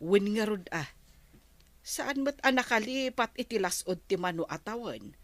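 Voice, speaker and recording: A woman's voice: medium pitch, lively intonation, moderate pace, fairly bright tone, dry recording, close to an everyday voice. A woman with an Ilocano accent playing a curious, gossiping neighbour in a radio comedy drama. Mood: gossipy.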